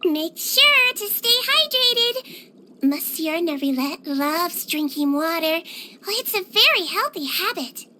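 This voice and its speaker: A sweet little nurse girl from a video game, extremely high and childlike in pitch. Her delivery is lilting and lively, cutesy and gentle, full of care for her patients. There is faint noise behind the voice. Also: slow, exaggerated voice. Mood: cheerful, helpful. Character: sweet little nonhuman nurse girl from underwater, caring and cheerful. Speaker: game character voice lines. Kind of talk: animated video game voice acting.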